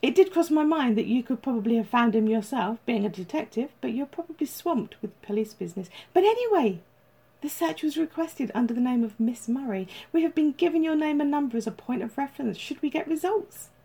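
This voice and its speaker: A woman's voice: high-pitched, expressive, brisk, creaky, warm tone, husky, voice pushed up, exaggerated voice. A woman voicing a chirpy, upbeat female agency caller in an audiobook, with formal but chatty phone patter. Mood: congratulatory, chatty.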